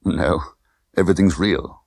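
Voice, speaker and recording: A man's voice: deep voice, unhurried, vocal fry, a little mellow, slightly rough, no echo, studio quality, heavily acted. A man voicing a cool, easygoing British secret agent, unruffled, laconic and lightly teasing.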